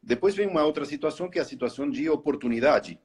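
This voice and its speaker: A man's voice: a little high, expressive, fast, a little mellow, slightly rough. A middle-aged man from Argentina whose first language is Spanish, with an Argentine Spanish accent, telling his story as a guest on a live interview and hesitating often. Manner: hesitant, restarts phrases, frequent "né?". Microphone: video call.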